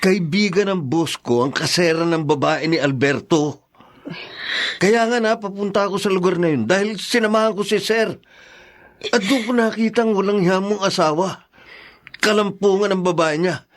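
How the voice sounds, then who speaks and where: An actor playing an indignant, protective older brother in a Filipino drama, with a Filipino accent. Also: male voice, sits high, moderate pace, lightly acted. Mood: accusing. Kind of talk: Filipino radio drama acting.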